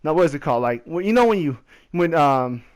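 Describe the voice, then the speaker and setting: A man's voice: fairly high pitch, lively intonation, fast talker, a little mellow, smooth. A young American man telling a casual story over gameplay in a slangy, conversational way, talking straight to his listeners. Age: young adult. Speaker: gaming video storyteller.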